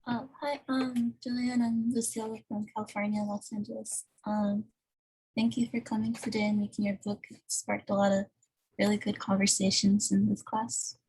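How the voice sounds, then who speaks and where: Woman on zoom, kinda husky, monotone, soft and hesitant. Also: medium pitch, moderate pace, rough recording. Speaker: book club member. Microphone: video call.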